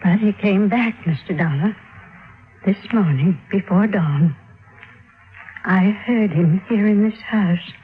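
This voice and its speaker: An actress playing a frail, fearful little old widow, speaking gravely and haltingly in old-time radio drama style. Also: female voice, mid-range voice, faint music behind, lightly acted. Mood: urgent, pleading. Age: older. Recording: tinny, noisy old radio recording.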